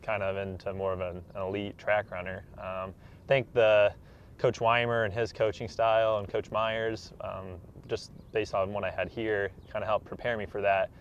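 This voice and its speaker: Low-voiced young man, dull recording. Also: little pitch movement, normal speed. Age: 20s. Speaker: interview guest, professional track athlete. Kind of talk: casual conversational interview answers. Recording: dull, slightly noisy room recording.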